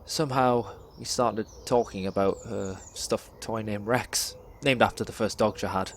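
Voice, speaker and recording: A young man performs a dramatic spoken word monologue in a measured, deliberate storytelling way, pausing between phrases. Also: fairly low pitch, fairly even intonation, a little hiss or hum. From England. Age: young adult.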